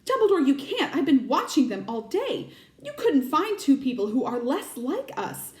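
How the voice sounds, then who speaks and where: A woman reads aloud as a stern older witch professor from a children's storybook. The voice is high and emphatic, exasperated and quick to falter with worry. The room around it is very echoey. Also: very animated, a little mellow. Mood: distressed, indignant.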